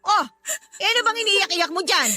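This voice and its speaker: Radio drama mother, played by a woman: middle-aged, high-pitched, blunt yet remorseful and coaxing, soft music bed. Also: animated, fairly quick, heavily acted. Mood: brusque. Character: blunt but remorseful mother of many children. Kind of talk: Filipino radio drama acting. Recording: faint music under the voice.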